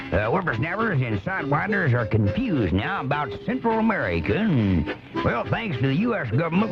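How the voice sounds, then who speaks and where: A jolly old cowboy children's host for radio comedy. The voice is pitched high and hurries along in folksy patter. It sounds muffled, like an old cassette taped off the air. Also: male voice. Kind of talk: parody children's radio show hosting.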